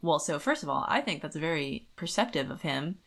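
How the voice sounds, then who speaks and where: Low-voiced woman chatting casually about books. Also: big pitch swings, a little fast, a bit bright. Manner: informal, rambling, like-heavy phrasing. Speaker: podcast co-host. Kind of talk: casual book discussion chat.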